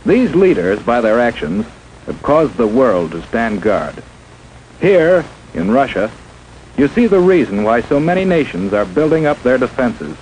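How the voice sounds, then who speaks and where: A man's voice: medium pitch, big pitch swings, unhurried, darkish tone, slight background noise, low-quality recording. An American man narrating a 1950s instructional film in an emphatic, dramatic, scripted manner, on an old film soundtrack. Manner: emphatic, dramatic, rhetorical questioning.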